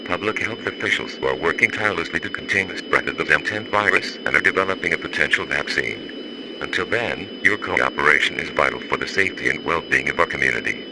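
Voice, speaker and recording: A man delivering a formal scripted public warning in a stern, official, impersonal manner. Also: deep voice. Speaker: emergency alert announcer. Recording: noisy emergency radio broadcast.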